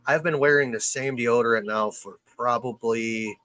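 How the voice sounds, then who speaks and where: A man in his early forties, mid-pitched, with an easygoing, jokey way of chatting with other fish keepers. The sound is dry and close, with little room sound. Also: normal speed, a bit bright, dry recording. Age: early 40s. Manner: joking, easygoing. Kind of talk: casual chat.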